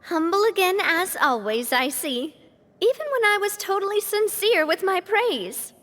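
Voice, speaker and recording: A woman's voice: very high voice, lively intonation, a little slow, a bit bright, a little hiss or hum, exaggerated voice. An actress playing a poised young female gang leader, gracious and sincere, in an English video game dub. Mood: playfully sincere. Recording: reverberant, with faint music underneath.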